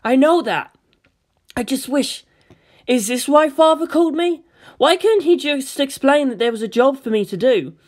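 A woman's voice: sits high, animated, normal speed, darkish tone, voice pushed up. A woman acts out a teenage boy in a dramatic audiobook. He is agitated and full of protest. He questions everything with hurt suspicion. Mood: bitter frustration. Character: distressed, argumentative, suspicious teenage boy, reluctant pilot. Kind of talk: dramatic audiobook character reading.

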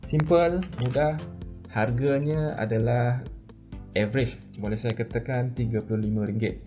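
A man's voice: mid-range voice, normal speed, mellow, faint music behind. A man in his forties with a Malaysian accent, explaining a product informally and hesitantly, mixing in English terms. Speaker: product reviewer. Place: medium-sized indoor office.

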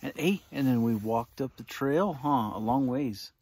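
A man's voice: mid-range voice, unhurried, a little mellow, husky. A man in his thirties recapping a camping trip to his small child, coaxing her with questions, filmed on a phone held at arm's length outdoors. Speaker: father filming a camping trip. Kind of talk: casual family vlog recap. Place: outdoors in desert scrub. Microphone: phone selfie at arm's length.

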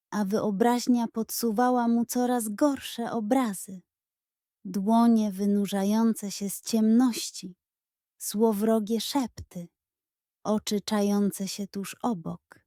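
A woman storyteller reading a scripted children's bedtime story in an expressive, dramatic and suspenseful manner. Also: medium pitch, slow, no room sound, studio quality.

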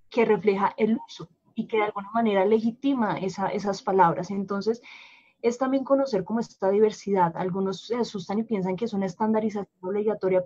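A woman explaining language planning on a live panel, measured and explanatory, sometimes repeating words. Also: mid-range voice, mellow, husky. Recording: dull lo-fi livestream audio.

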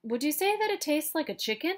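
A woman's voice: high-pitched, sing-song, brisk, voice pushed up. A woman voicing a small, curious, innocently pestering young duckling in a children's storybook, full of questions. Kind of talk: children's picture-book read-aloud.

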